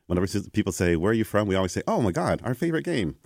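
Low-voiced man telling stories animatedly. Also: racing, creaky, dry recording, clean studio sound. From Canada. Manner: animated, acts out quoted exchanges. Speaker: guest. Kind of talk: conversational interview storytelling.

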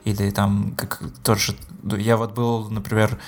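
A man's voice: fairly low pitch, completely flat delivery, normal speed. A man co-hosting a podcast in casual chat, hesitant, with many filler sounds. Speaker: podcast co-host.

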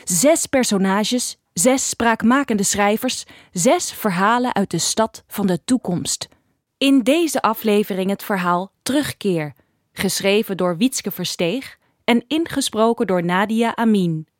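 A woman's voice: mid-range voice, animated, measured pace, no echo, studio quality. A woman giving a scripted introduction to an audio drama episode as the series announcer. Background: music.

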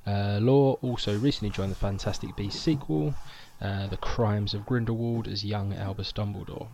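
Male podcast host, low and gravelly, chatty, reads news off his notes. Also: fairly even intonation, normal speed, darkish tone, a little hiss or hum. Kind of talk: casual podcast chat.

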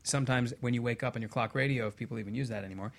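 Guy hypnotherapist guest explaining stuff in a conversational way, medium pitch. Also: rapid-fire. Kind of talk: conversational explaining and anecdotes on a talk show.

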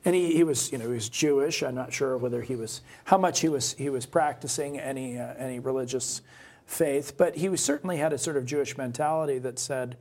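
A man with a mid-pitched voice hosts a discussion podcast. He thinks aloud, circling back and restarting phrases as he builds toward his point. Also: darkish tone. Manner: halting, repeats and restarts phrases as he reasons aloud. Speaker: podcast host. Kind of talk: conversational discussion.

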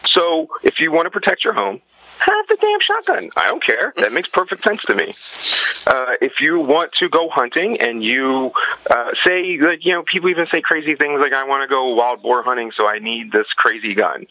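A man's voice: mid-range voice, very animated, normal speed, highs cut off. A man giving opinions as a podcast guest, conversational but emphatic, often arguing through his own questions.

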